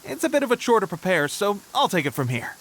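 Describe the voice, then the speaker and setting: An actor playing an eager, boastful young soldier in a video game, earnest and full of bravado. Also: male voice, high voice, big pitch swings, fast, fairly bright tone, a little hiss or hum, poor audio. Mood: upbeat. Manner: enthusiastic, storytelling bravado. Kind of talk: video game character dialogue.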